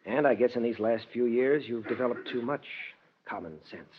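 An actor playing an earnest, idealistic defence lawyer in a 1940s radio drama, speaking in a measured, persuasive way. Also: male voice, mid-range voice, slight background noise, close to an everyday voice. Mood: quiet, sincere. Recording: muffled vintage radio recording, long reverb.

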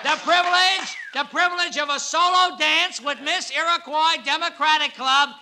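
An excitable neighborhood master of ceremonies in a vintage radio play. He proclaims in an extremely high voice, and the old recording is heavily noisy. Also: moderate pace. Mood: excited. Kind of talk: 1950s radio drama acting, public announcement.

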